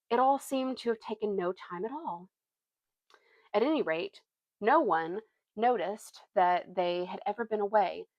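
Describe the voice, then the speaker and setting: A woman's voice: mid-range voice, dry recording, lo-fi sound. Woman reading a storybook aloud, dry sound. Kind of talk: expressive read-aloud narration.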